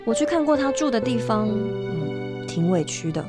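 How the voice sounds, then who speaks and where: A wistful narrator lady in a radio drama, music really loud behind. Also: fairly high pitch, big pitch swings, moderate pace. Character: wistful woman narrator recalling a friend.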